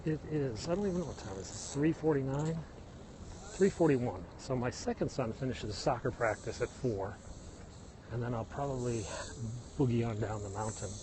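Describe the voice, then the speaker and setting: A man's voice: mid-range voice, animated, moderate pace, noisy background. A middle-aged man with a Midwestern American accent, chatting casually to viewers as he livestreams a walk on a hillside trail and points out the sights. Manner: chatty, tour-guide pointing out sights, answers viewer comments. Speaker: live-streaming vlogger. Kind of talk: casual walking livestream chat. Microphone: phone held while walking. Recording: phone recording outdoors with loud cicadas. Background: insects and cicadas.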